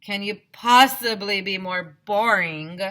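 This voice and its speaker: A woman's voice: medium pitch, slow pace, fairly bright tone. A woman voicing a blunt, sassy schoolgirl in a children's story, with a mocking manner. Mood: scornful.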